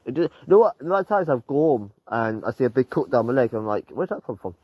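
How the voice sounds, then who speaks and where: A man's voice: mid-range voice, sing-song, fast, a little mellow, dry recording. An eighteen-year-old man chatting casually outdoors in the snow, swearing and laughing as he rambles. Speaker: vlogger. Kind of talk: casual chat while out in the snow. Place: outdoors on a snowy road.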